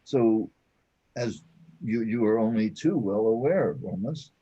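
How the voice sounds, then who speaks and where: A man acting a weary, matter-of-fact companion in a sci-fi audio drama, speaking in a deliberate, explanatory way. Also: lowish voice, animated, warm tone, husky, muffled recording. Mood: patient, explaining.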